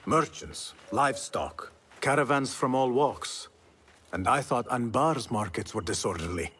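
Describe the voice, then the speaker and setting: An actor playing an earnest, determined young assassin in a video game, speaking in a serious, deliberate way. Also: male voice, mid-range voice, animated, fairly bright tone. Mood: observant. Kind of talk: dramatic video game acting.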